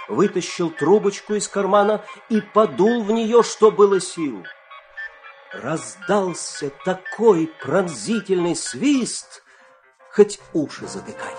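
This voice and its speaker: A man narrating a children's fairy-tale radio play with dramatic, expressive storytelling delivery. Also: high-pitched.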